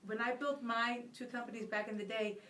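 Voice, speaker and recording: A woman's voice: a little high, little pitch movement, fairly quick, a bit bright, slight background noise. A woman co-hosting a live radio talk show, chatting and asking long, rambling questions and laughing easily. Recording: roomy and distant from the mic.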